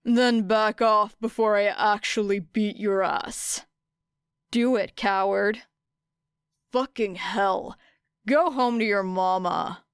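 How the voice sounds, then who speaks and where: A woman voicing a gruff, irritable male vigilante who mutters curt, foul-mouthed lines in an audiobook. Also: medium pitch, fairly even intonation, slow pace, no room sound, clean studio sound. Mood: irritated. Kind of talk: audiobook character dialogue.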